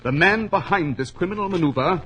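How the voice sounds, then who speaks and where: An actor playing a self-assured gentleman detective in a 1940s radio drama, clipped, precise and authoritative. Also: high-pitched, expressive, brisk, slight background noise, rough recording. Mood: confident, revealing.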